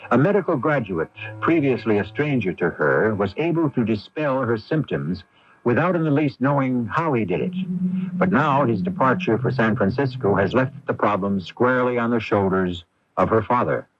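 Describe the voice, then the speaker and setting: A man's voice: medium pitch, animated, normal speed, lightly acted. A man narrating a 1980s radio mystery as its host, in formal, literary phrasing. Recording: dull, noisy 1980s AM radio recording.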